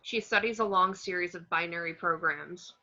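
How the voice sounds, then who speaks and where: A woman reading screenplay action descriptions as narration, in a plain, measured way. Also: fairly low pitch, bright, forceful tone. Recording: slightly dull home microphone.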